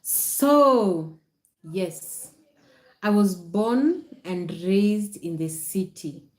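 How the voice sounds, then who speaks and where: A woman with a Kenyan accent hosts a casual livestream at an unhurried, slow pace. She explains things patiently to viewers and often repeats her phrases. The sound is noticeably echoey. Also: medium pitch, expressive, a little mellow. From Nakuru, Kenya. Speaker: content creator hosting a livestream.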